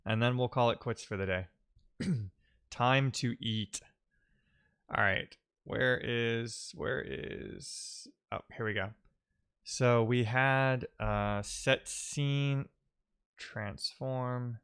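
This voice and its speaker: A man streaming live coding, talking casually and haltingly as he thinks aloud and mutters to himself while he works. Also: medium pitch, steady tone, fairly bright tone, smooth.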